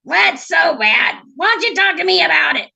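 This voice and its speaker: A small male talking frog from a fairy tale, acted out by a woman, pushy and slick. The voice is high and loud, full of slangy wheedling, like a street hustler pitching a deal. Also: lively intonation, normal speed, gravelly, heavily acted. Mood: loud, needling. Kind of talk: storytelling character voice.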